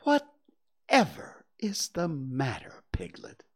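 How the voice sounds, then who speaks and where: A storybook reader voicing a calm, kindly young boy who is fond and reassuring. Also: medium pitch, slow, breathy edge, heavily acted. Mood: concerned. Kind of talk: acted children's storybook reading.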